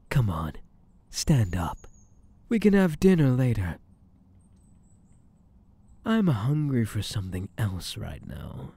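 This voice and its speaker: A smug mafia boss, acted by a man, talks close and dry in an intimate audio roleplay. His voice is soft-edged and mellow and he takes his time. He teases and commands, possessive and menacing, with pitch that swings freely. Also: breathy edge, dry recording, exaggerated voice. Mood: seductive, menacing. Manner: slow, teasing, commanding. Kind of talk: intimate ASMR roleplay acting.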